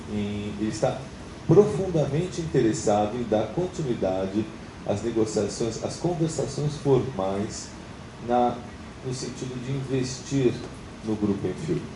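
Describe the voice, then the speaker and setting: A man with a Brazilian Portuguese accent chairing a creditors' meeting, speaking in measured, hedging formal remarks and often checking in with "tá?". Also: fairly low pitch. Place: meeting room. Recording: roomy, distant mic, noisy.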